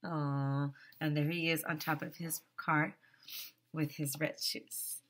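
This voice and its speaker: A woman in her thirties, a teacher, reading a picture book aloud to young children in a theatrical, exaggerated, sing-song manner with drawn-out words. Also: low voice, flat delivery, a bit bright. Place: small decorated room, indoors. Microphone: headset.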